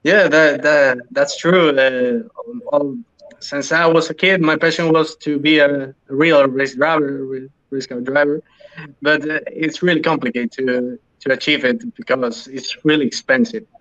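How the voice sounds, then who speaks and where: A man from Spain with a Spanish accent, a podcast guest answering interview questions in halting English with many restarts. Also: on the high side, steady tone, a bit bright, muffled recording. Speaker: podcast guest, sim racing driver.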